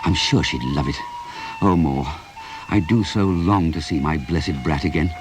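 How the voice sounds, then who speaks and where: A man's voice: a bass voice, measured pace, background noise. An actor playing a sentimental, blustering middle-aged English writer and father in a radio play, with a wistful, florid, theatrical delivery. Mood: fond, longing. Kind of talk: radio play acting, reading letters aloud. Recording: music behind the voice.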